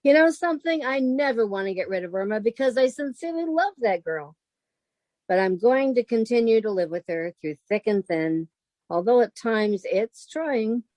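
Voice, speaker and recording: A woman plays a level-headed, long-suffering roommate in a vintage radio comedy. Her voice sits fairly high and sounds close and dry. She speaks with deliberate, wry patience. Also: lively intonation, a little mellow, dry recording, close to an everyday voice. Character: sensible, exasperated woman roommate, wry narrator of the show. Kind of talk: old-time radio comedy acting.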